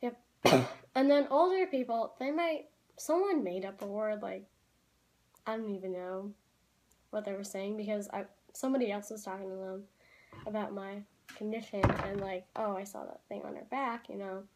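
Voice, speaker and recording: A teenage girl vlogging from a room at home, rambling in a casual, chatty way with lots of "like"s and quick apologies. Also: medium pitch, a little mellow. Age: teens. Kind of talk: casual chat to camera. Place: small room at home, indoors.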